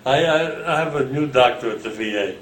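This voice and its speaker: Roomy-sounding New man in his eighties. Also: mid-range voice. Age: 80s. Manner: rambling, halting storyteller with many restarts. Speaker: World War Two veteran recounting family history. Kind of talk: casual oral history interview. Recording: roomy home recording with faint hiss.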